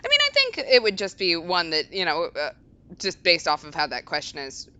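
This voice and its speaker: Lively, bright-voiced wry woman. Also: lowish voice, a little fast. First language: Japanese. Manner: dry, wry humor with frequent laughs. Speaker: co-host streamer. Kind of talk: casual game-stream chat.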